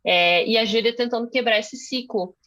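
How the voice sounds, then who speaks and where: A woman's voice: a little high, moderate pace, fairly bright tone. A young woman from Brazil moderating a conversation on a video call, thinking aloud with many fillers and restarts. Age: young adult.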